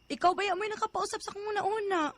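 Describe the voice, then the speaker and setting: A woman's voice: very high voice, steady tone, fast talker, a bit bright, slight background noise. An actress playing a heartbroken, affectionate young woman in a radio drama, earnest and plaintive, with a Filipino accent. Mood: reluctant, plaintive. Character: heartbroken young wedding planner, stubborn but affectionate daughter. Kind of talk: Philippine radio drama acting.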